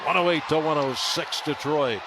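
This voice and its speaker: A male play-by-play announcer with a fairly high voice works a live basketball radio broadcast from an arena. Loud background noise surrounds him as he emphatically calls the score and the action. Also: normal speed. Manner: emphatic, calling out scores and plays. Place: large indoor basketball arena. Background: loud arena noise, bouncing basketball.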